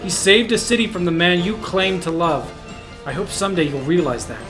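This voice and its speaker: A man's voice: high voice, brisk, over music, close to an everyday voice. A man playing an earnest young masked hero in an audio drama. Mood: pleading. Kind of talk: fan audio drama acting.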